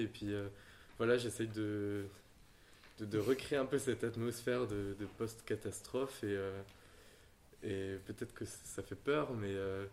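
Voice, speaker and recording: A man, an artist, talking casually about his own work, speaking softly and haltingly with many fillers and repeated words. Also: lowish voice, a little hiss or hum. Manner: soft-spoken, halting, frequent fillers. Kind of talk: casual conversation.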